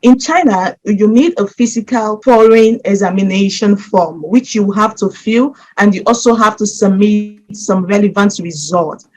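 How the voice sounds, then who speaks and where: A woman's voice: mid-range voice, measured pace, hardly any pauses, poor audio. A woman from Nigeria giving methodical scholarship application advice directly to her viewers. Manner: steady, methodical, advising listeners directly. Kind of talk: instructional explainer.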